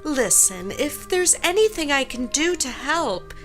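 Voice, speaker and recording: An actress playing a soothing, proper neighbor woman offering measured sympathy in an audio drama. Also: high voice, big pitch swings, loud music behind the voice. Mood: consoling. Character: consoling, proper female neighbor at a funeral.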